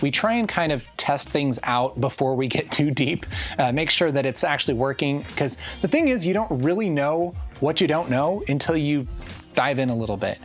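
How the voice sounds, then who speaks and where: A man in his thirties talking casually as host in a behind-the-scenes interview. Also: on the high side. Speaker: video creator and host. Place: small studio with curtain backdrop. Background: music.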